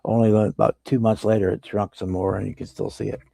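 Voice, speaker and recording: Gravelly low-voiced man. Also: a little fast, no echo, studio quality. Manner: relaxed, rambling explanations while working. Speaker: model-building livestream host. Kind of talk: casual workbench chat.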